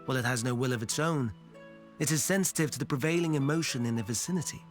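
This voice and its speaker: A man playing an erudite, gentle researcher in a video game, explaining things in formal, precise, archaic phrasing. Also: medium pitch, quick pace, faint music behind, natural voice. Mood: calm, explanatory. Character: erudite, gentle male researcher of an ancient people. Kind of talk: scripted video game cutscene acting.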